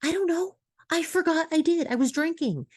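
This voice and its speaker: Woman acting flustered aunt, pitch swinging high. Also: wildly swooping pitch, a little slow, no echo, clean studio sound, heavily acted. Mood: overwhelmed. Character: anxious, flustered mother-figure aunt, irritable and overwhelmed.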